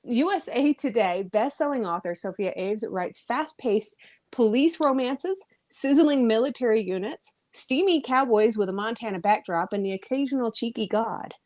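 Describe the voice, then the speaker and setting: A woman's voice: fairly high pitch, expressive, moderate pace, darkish tone. A woman hosting a podcast interview, enthusiastic and bubbly, laughing often. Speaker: podcast host. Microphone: audio-only call.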